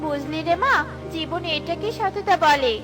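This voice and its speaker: A woman voicing a gentle, honest, poor mother bird in a fairy-tale cartoon, patient and pleading. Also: very high-pitched, measured pace. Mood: gentle, reassuring. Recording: music bed behind the voice.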